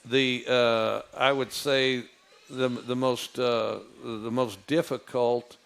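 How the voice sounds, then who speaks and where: A low-voiced man with a gravelly, creaky edge, telling stories in a relaxed, meandering way. He halts often on fillers and restarts his sentences as he goes. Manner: rambling, halting with many fillers and restarts. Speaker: football coach. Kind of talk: casual storytelling.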